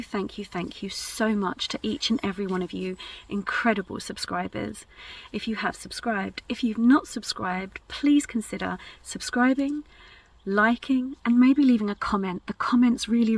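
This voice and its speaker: A soft-spoken woman hosting an ASMR channel, addressing her subscribers directly. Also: medium pitch, vocal fry, distant mic, low-quality recording.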